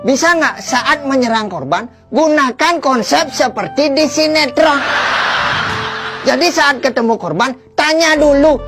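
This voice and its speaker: Music plays clearly behind a high-pitched woman with an Indonesian accent. She delivers satirical comedy in a mock-serious way, setting up punchlines.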